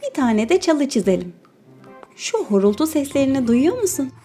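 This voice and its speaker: A woman's voice: sits high, big pitch swings, normal speed, warm tone, voice pushed down. Lady as cartoon animal mama, tender and soothing, encouraging, music in back. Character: tender, encouraging animal mother of a little one. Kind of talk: children's cartoon voice acting.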